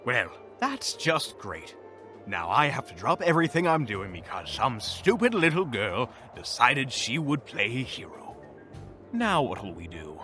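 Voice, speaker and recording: A man's voice: mid-range voice, very animated, unhurried, sharp tone, soft background music. A man playing a pompous, sarcastic, grumpy evil wizard in a melodramatic fantasy audio roleplay. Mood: irritated. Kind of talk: fan audio roleplay acting.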